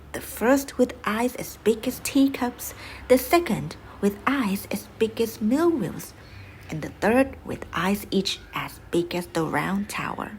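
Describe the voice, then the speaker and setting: Woman storyteller with noisy background. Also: a little high. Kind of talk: expressive bedtime fairy-tale narration.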